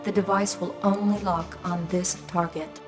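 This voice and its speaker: A woman playing a formal military officer reading a measured, scripted mission briefing in a video game. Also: on the low side, steady tone, over music, close to an everyday voice. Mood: formal, businesslike.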